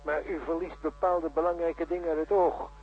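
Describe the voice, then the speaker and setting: An actor playing a condescending, evasive professor in a radio play, talking in a pedantic, formal and patronising way. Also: male voice, fairly high pitch, flat delivery, brisk, phone-quality sound, a little hiss or hum, lightly acted. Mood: smug, lecturing. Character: smooth-tongued, condescending, evasive male professor of seismology.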